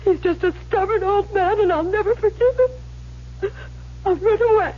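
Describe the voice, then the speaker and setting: Casting: headstrong lovelorn daughter, very high voice, melodramatic, on a dull vintage radio recording. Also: female voice, unhurried. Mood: tearful defiance. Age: young adult. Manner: theatrical, emotional pleading. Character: lovestruck, stubborn young daughter, melodramatic and pleading. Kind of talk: old-time radio drama acting.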